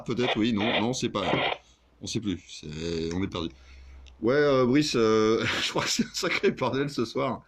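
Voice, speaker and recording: A man's voice: fairly low pitch, noisy background. A man chatting casually as an amateur radio operator, with crude, joking, sweary banter.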